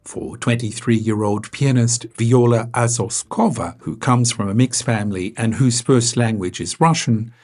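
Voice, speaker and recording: Casting: male radio reporter, husky, steady scripted read. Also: medium pitch, unhurried, hardly any pauses. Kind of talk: scripted news narration.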